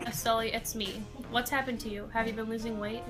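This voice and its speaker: Earnest young female character acted by a woman, caring, questioning, highish pitch, music behind. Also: level tone, brisk, natural voice. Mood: concerned. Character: caring, grown-up young woman, friend to monsters. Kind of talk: amateur audio fairytale parody.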